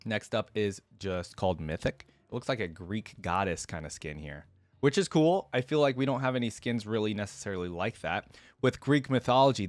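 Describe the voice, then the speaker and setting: Male reaction host, low voice, casual and informal, talks straight to viewers, close dry sound. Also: no room sound. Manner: informal, filler-laden, addresses viewers directly. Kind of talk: casual commentary, reacting to game art.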